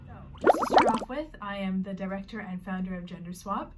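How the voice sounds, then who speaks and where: A woman's voice: medium pitch, expressive, fairly quick, very noisy. A woman in her twenties with a Canadian accent, talking casually to camera from her bedroom, explaining things in a friendly, loose way with filler words. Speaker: vlogger and organization founder. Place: own bedroom.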